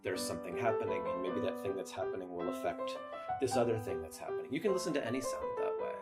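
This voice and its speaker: An American man in his late thirties talking reflectively in a documentary interview, halting and repeating himself as he thinks. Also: deep voice, lively intonation. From upstate New York, USA. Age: late 30s. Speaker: interviewee, pianist. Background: piano music.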